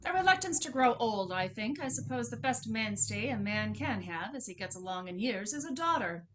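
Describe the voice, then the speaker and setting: A woman acting a pompous, philandering father in a radio play, in a measured, reproving manner. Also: on the high side, expressive, noisy background, lightly acted.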